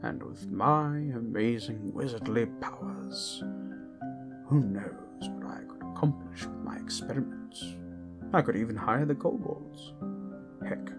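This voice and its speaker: A man playing a grumbling, scheming old wizard who muses theatrically over his orb in an ASMR fantasy roleplay, often slipping into whispers. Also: medium pitch. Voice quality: breathy, husky. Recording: music behind the voice.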